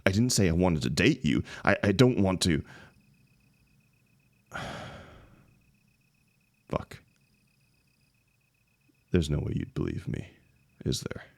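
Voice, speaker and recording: Very deep, gravelly young heartbreaker, acted by a man. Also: sing-song, no room sound, heavily acted. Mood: flustered, resigned. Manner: casual, halting, emotional, swinging emphasis. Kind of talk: intimate one-sided audio roleplay.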